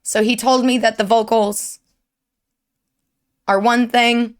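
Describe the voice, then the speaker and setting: A woman's voice: fairly high pitch, little pitch movement, slow pace, clear, resonant tone. A young woman with a sore, strained throat giving an earnest, rambling update to her viewers from her desk at home. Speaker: streamer and YouTuber. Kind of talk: candid personal update to viewers.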